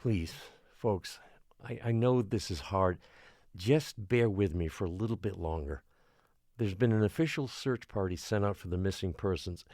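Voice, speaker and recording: Small-town mayor, male, low register, dry recording. Formal, measured, placating public speaker under pressure. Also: a little mellow, dry recording. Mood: placating, strained. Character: beleaguered small-island mayor trying to calm frightened residents. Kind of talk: audio drama acting, public address.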